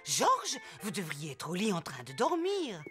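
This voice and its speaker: A woman voicing a kindly, sensible old grandma pig in a gentle, motherly manner for a French cartoon. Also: mid-range voice, huge pitch swings, a little slow, faint music behind. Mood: gently firm.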